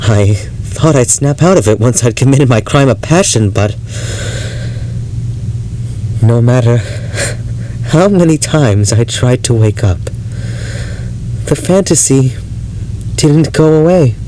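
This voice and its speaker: An actor playing an unhinged, obsessive ex-lover confessing darkly in an audio drama monologue, halting and brooding. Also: male voice, mid-range voice, animated, mellow, slight background noise, low-quality recording. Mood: manic, intense. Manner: halting, brooding, breaking into unhinged laughter and intensity.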